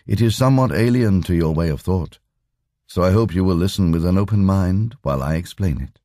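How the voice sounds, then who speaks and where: A man voicing a calm, formal lama in an audiobook story, speaking in a measured, unruffled way. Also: low-pitched, no echo, clean studio sound, natural voice. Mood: calm, explanatory. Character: calm, imperturbable male Tibetan lama explaining patiently.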